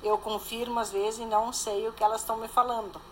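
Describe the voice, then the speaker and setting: A woman's voice: fairly high pitch, level tone, brisk, a little mellow, a little hiss or hum, rough recording. An older woman, a guest speaking plainly and matter-of-factly in casual conversation. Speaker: interview guest. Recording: faint background ambience.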